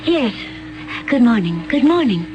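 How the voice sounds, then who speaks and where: An actress playing a calm, otherworldly alien woman in a 1970s radio drama. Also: fairly high pitch, unhurried. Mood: serene. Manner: calm, gentle, detached. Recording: dull lo-fi radio broadcast.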